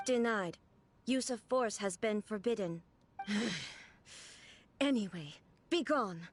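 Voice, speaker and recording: A woman's voice: mid-range voice, lively intonation, slow, background noise. An actress playing a cold, imperious female puppet guardian in an anime game, formal, commanding and detached. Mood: cold, dismissive.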